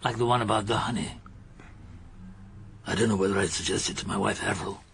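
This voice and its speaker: An actor playing a mild, chatty English solicitor in a radio drama, hesitant and confiding. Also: male voice, on the low side, fairly even intonation, brisk, creaky, fairly bright tone, a little hiss or hum. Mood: musing, confiding.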